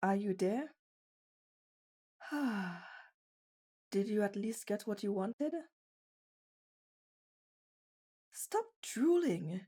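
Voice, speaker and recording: A woman's voice: mid-range voice, animated, slow pace, warm tone, slightly rough. A woman playing a shy, skittish stray bunny girl in an ASMR roleplay, speaking in a hesitant, easily flustered way. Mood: puzzled, put off.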